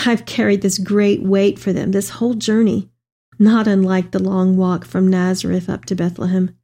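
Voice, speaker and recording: Elderly devout mother played by a woman for dramatic letter readings: loving, earnest, measured, warm dark tone. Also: mid-range voice. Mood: grateful, loving. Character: elderly Mary, tender devout mother writing to her son.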